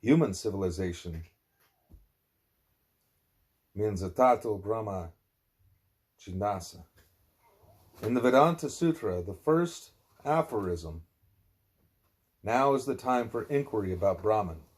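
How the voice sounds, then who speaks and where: Guy with a lowish voice reading a spiritual audiobook, deliberate and emphatic. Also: lively intonation. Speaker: audiobook narrator, reading a spiritual text aloud. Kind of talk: scripted narration, broken by asides to a small child.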